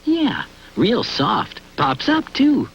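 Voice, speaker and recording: Husky, swooping naive baby. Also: female voice, medium pitch, sing-song, drawn-out words, exaggerated voice. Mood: innocent, pleased. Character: naive baby who cannot read, chatting about toys. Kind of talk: cute television commercial voice acting. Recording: dull old TV commercial audio.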